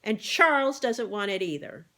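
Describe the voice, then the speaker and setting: A woman's voice: medium pitch, sing-song, normal speed, sharp tone, voice pushed up. A woman voicing a scolding, no-nonsense mother hen in an animal storybook, speaking vigorously. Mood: exasperated. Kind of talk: animal storybook read-aloud.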